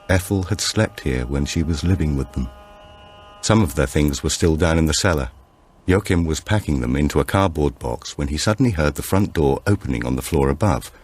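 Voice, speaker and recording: A man reading a supernatural thriller audiobook in a measured, suspenseful storytelling manner. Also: a bass voice, darkish tone, slight background noise. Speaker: audiobook narrator. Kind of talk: scripted narration of a supernatural thriller.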